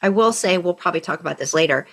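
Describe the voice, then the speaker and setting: A woman's voice: fairly low pitch, expressive, very fast, fairly bright tone. An American woman co-hosting a podcast, talking in a casual, conversational way. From United States. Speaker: podcast co-host. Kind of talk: casual fandom podcast chat.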